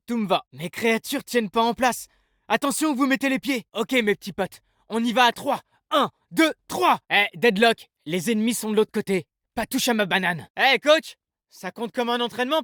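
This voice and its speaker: A man's voice: high voice, a bit bright, no room sound, studio quality. A man voicing a laid-back young male agent in a video game, casual, slangy and upbeat, speaking in. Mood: playful, friendly.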